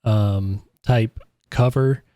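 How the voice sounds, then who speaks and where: A man's voice: lowish voice, fairly even intonation, drawn-out words, dark tone, no echo, clean studio sound. A man co-hosting a podcast in casual chat, opinionated and arguing his point with hesitant restarts. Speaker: podcast co-host.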